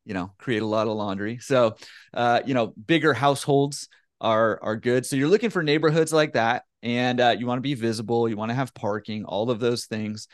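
A man in his thirties, a guest on a video call, answering in a relaxed, conversational way full of "you know" fillers. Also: medium pitch, normal speed, no echo, clean studio sound. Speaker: laundromat owner, guest. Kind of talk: casual interview answer. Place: home room with bookshelf. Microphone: headset with desk mic on video call.

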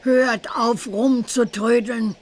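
A woman's voice: fairly high pitch, steady tone, slow. A woman voicing a stern, impatient old witch examiner in a children's cartoon game. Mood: impatient, scolding.

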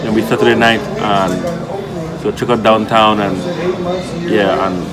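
A man chatting casually to viewers as a travel vlogger in a low-key, conversational way. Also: steady tone, normal speed. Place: inside a restaurant. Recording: music playing behind the voice. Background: music.

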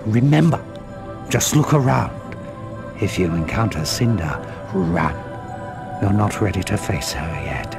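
Casting: aged dragon sage in a fantasy game, grave and unhurried, heavy rasp, music underneath. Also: male voice, fairly low pitch, animated. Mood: grave warning. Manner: slow, measured, grave delivery. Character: wise old male fire dragon mentor, solemn and patient. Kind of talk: dramatic video game cutscene acting. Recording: music behind the voice.

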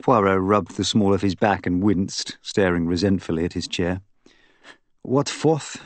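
A man narrates a mystery novel. His voice sits fairly low, and the recording is dry and close, with scripted storytelling delivery. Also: little pitch movement, normal speed, no room sound. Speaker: audiobook narrator.

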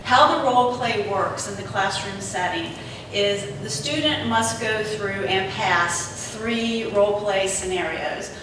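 A woman's voice: medium pitch, measured pace. A woman in her forties, a police trainer, giving an explanatory presentation from a theatre stage. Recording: echoey theatre, distant mic, noisy.

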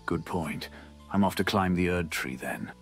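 A man voicing a gruff half-wolf knight in a comic dub, earnest and dim-witted, with solemn, old-fashioned phrasing. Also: deep voice, unhurried, faint music behind. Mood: earnestly puzzled. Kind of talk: dramatic comic dub acting.

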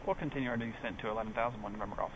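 A man's voice: mid-range voice, very fast. A man, a pilot, making clipped, procedural radio calls on an aviation headset. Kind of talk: air traffic radio calls. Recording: loud noise on cockpit radio.

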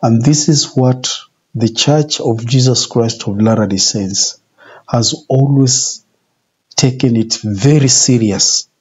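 A man in his forties preaches informally with a husky, mellow voice. He speaks deliberately and repeats points for emphasis. The sound is a little muffled, like a home video from a small office. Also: medium pitch. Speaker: religious video presenter. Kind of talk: informal sermon, retelling scripture.

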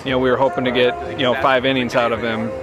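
A man in his thirties, a head baseball coach, giving a postgame interview outdoors at a ballfield at night, measured and full of you-knows. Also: mid-range voice, level tone, loud music behind the voice.

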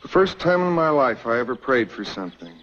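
A man's voice: medium pitch, sing-song, fairly quick, a little hiss or hum. An actor playing a cynical young male settler in a 1950s western TV drama, talking bitterly and then earnestly. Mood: confessional. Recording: dull old TV soundtrack with loud music.

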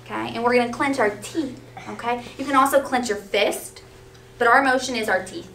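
A woman teaching young children in an interactive read-aloud, animated and often prompting them with questions and check-ins. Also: on the high side, normal speed. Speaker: elementary school teacher. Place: school classroom, children seated on the floor. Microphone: distant camera. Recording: roomy, distant, faint classroom noise.

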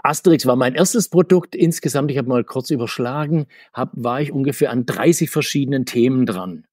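A man's voice: mid-range voice, brisk, a little mellow, no room sound, clean studio sound. A man in his sixties, a guest on a video-call interview, talking in a rambling, chatty way and laughing between points. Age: 60s. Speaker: interview guest, comics marketer. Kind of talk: casual interview talk. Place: home room full of figures.

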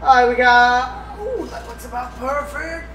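A man's voice: extremely high pitch, animated, a little slow, bright, forceful tone. A man hosting a casual cooking livestream, talking through the steps with profane jokes. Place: indoors in a home kitchen. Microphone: distant room mic. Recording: echoey room, distant mic, faint noise.

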